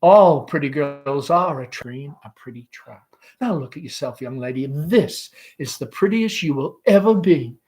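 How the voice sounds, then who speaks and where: A man's voice: fairly high pitch, animated, unhurried, a little mellow. A man playing a bossy, exasperated middle-aged mother who scolds and insists. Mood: insistent.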